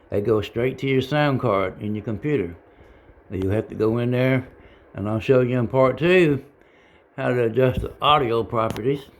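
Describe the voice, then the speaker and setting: Male hobbyist tutorial voice: creaky and gravelly, folksy, unpolished, stop-and-start delivery. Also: mid-range voice. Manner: halting, folksy, plain-spoken. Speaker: hobbyist giving a how-to demonstration. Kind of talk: casual unscripted tutorial. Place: indoor home radio room.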